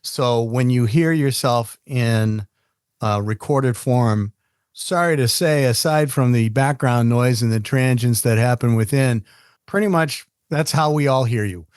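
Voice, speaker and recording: A man's voice: medium pitch, smooth, dry recording, clean studio sound. A man hosting a podcast, chatty and upbeat, talking about voice and recording. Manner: upbeat, chatty, spells out names slowly. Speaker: podcast host. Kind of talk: casual podcast interview. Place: recording studio.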